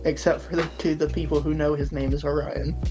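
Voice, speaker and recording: A woman's voice: low-pitched, flat delivery, brisk, over music. A woman chatting and improvising casually as a player in a tabletop game. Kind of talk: casual chat and improvised roleplay.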